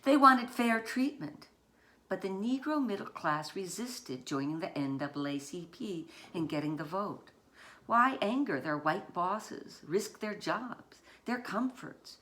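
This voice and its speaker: A woman author reading her children's picture book aloud in deliberate short phrases, with dramatic emphasis on key words. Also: medium pitch, lively intonation, sharp tone.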